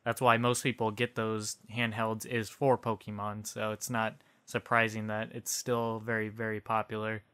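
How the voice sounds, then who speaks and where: A man's voice: on the low side, completely flat delivery, normal speed, few pauses, bright, forceful tone, clean tone. A man co-hosting a podcast in casual, conversational chat. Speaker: podcast co-host.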